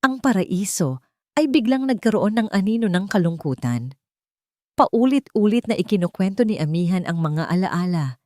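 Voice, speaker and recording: A woman's voice: medium pitch, very animated, normal speed, darkish tone, no echo, studio quality. A woman reading a scripted romantic drama as a storyteller.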